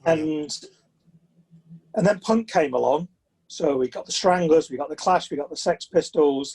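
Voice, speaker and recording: Husky southern English man of sixty. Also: on the high side, darkish tone, low-quality recording. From southern England. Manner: chatty, anecdotal. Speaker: interview guest, author. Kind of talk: conversational interview storytelling.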